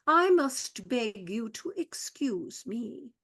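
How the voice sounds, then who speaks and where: A woman playing a stately, fussy old male butler who speaks slowly and formally. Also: fairly high pitch, sing-song, fairly bright tone, husky, heavily acted. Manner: slow, formal and ponderous. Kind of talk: radio play acting.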